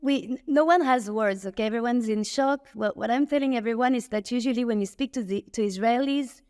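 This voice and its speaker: A woman's voice: a little high, a little fast, a little mellow, clean tone, no echo, clean studio sound. Earnest French-accented woman. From France. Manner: earnest, emphatic, with frequent restarts and "okay?" asides. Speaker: guest, tech entrepreneur. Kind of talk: remote interview conversation.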